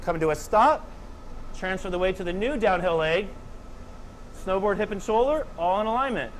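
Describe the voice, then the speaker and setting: Male snowboard coach, thirties, higher voice, calm clipped cues, faint hum behind. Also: normal speed. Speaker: snowboard instructor. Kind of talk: step-by-step instructional demonstration. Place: indoor training slope under a roof.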